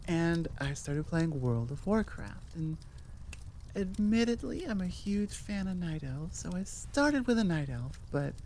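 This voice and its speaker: A woman's voice: medium pitch, dark tone, a little hiss or hum. A woman chatting casually and enthusiastically to her listeners as an audio creator, rambling off on tangents.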